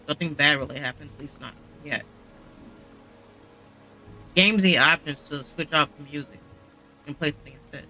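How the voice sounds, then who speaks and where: A man's voice: on the high side, pushed and bright, poor audio. A man chatting casually and ramblingly as he streams a game. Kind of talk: casual live stream chat and commentary.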